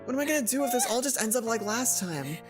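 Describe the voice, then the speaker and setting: A woman's voice: a little high, expressive, quick pace, music in the background, voice pushed up, natural voice. A woman voicing a timid, self-pitying schoolgirl heroine in a visual novel, hesitant and downcast. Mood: upset.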